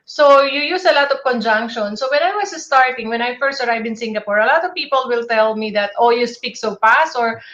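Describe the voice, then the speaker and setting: A woman's voice: fairly high pitch, brisk, hardly any pauses, fairly bright tone, muffled recording. A woman from the Philippines speaking as a panel guest, talking at length with many restarts and fillers. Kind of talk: casual panel discussion.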